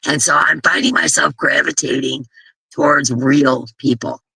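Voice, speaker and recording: Hoarse, gravelly woman host, blunt and chatty. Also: rumbling low, steady tone, normal speed, sharp tone, no room sound, low-quality recording. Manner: blunt, chatty, rambling, with frequent restarts. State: hoarse, losing her voice. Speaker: host of a crochet live stream. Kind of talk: casual live-stream chat.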